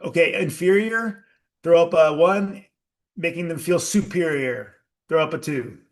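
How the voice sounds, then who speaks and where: Slow, emphatic man leading a workshop. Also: fairly high pitch. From United States. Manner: slow, emphatic, asks the audience questions. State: sweating. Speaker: workshop leader. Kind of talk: live group workshop talk.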